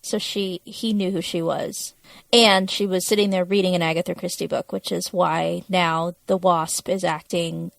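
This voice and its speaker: A woman's voice: lowish voice, steady tone, moderate pace, gravelly, no room sound. A woman co-hosting a podcast in a casual, conversational style. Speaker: podcast co-host.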